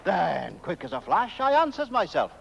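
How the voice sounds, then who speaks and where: An actor playing an excitable, deferential village constable with a British accent and a rambling, folksy way of talking. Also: male voice, high-pitched, normal speed, vocal fry, husky, full character voice. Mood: excited, rambling. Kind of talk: 1970s horror comedy film acting. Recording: muffled old film soundtrack.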